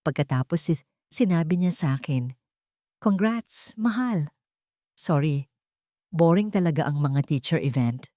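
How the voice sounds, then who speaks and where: A woman voicing a proud, hurt wife in a romance story, earnest and measured. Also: sits low, animated, mellow, no room sound, clean studio sound. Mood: bitter, hurt.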